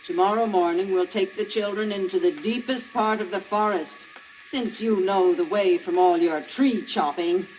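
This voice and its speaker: Actress as a scornful, scheming storybook wife, noisy record. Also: female voice, medium pitch, moderate pace, a little mellow, close to an everyday voice. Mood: cold scheming. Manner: theatrical, scornful, repetitive. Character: shrill, scheming, selfish wife of a poor woodcutter. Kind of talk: old children's story record acting. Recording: noisy old children's record.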